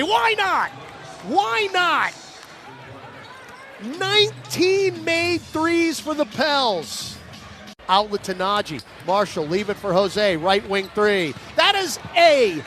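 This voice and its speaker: An American man calling live basketball on the radio in punchy, clipped phrases that can burst into shouts. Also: very high voice. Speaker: radio play-by-play announcer. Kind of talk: excited live basketball commentary. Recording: noisy live arena broadcast. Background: arena noise and basketball bounces.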